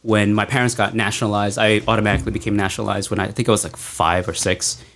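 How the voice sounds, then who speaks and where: A man's voice: on the low side, level tone, fast talker, fairly bright tone. A man in his thirties with an American accent, talking casually as a podcast guest and telling stories. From United States, born in Thailand to parents from Laos. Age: 30s. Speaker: podcast guest, medical lab scientist and martial arts instructor. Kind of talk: casual conversational storytelling.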